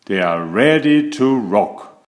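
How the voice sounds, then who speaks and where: Male children's story reader. Unhurried, very lively intonation, roomy echo. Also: fairly low pitch. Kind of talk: expressive read-aloud storytelling.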